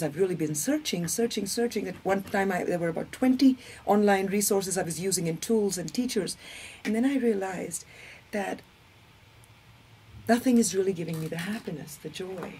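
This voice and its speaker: A woman in her thirties with an Indian accent and Hindi as her first language, giving personal testimony in an expressive, emphatic way. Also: mid-range voice. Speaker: interview guest, spiritual community member. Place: indoor set with curtain backdrop, seated. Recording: clear background noise.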